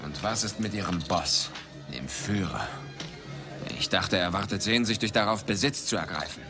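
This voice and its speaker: An actor playing a bitter, sardonic adventurer hero in a dubbed film, with a dry, terse delivery. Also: male voice, deep voice, moderate pace, music in the background. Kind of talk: dubbed 1980s film dialogue.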